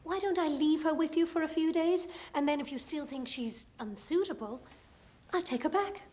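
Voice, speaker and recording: Actress as a high-voiced, persuasive aunt. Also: female voice, moderate pace, a little mellow, natural voice. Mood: polite, persuasive. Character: brisk, persuasive aunt eager to hand over her young charge. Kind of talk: period family film acting.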